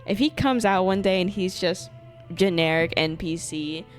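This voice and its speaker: A woman's voice: on the low side, normal speed. A young woman giving casual, slangy, jokey commentary as she reacts to a video game. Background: game music.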